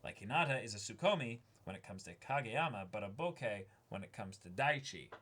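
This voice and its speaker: A man's voice: fairly low pitch, lively intonation, brisk, bright, forceful tone, breathy edge. A young man co-hosting a show, reading fan letters aloud and breaking off with enthusiastic, chatty asides. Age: young adult. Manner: enthusiastic, interjects reactions while reading. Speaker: co-host of a reaction and unboxing show. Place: small indoor room, seated beside co-host.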